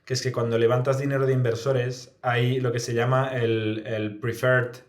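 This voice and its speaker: A man's voice: mid-range voice, flat delivery, normal speed. A man with an accent from Spain gives reflective, explanatory answers in a conversational interview, often adding little tag questions. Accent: Spanish from Spain. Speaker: guest, startup founder. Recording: echoey, reverberant room sound.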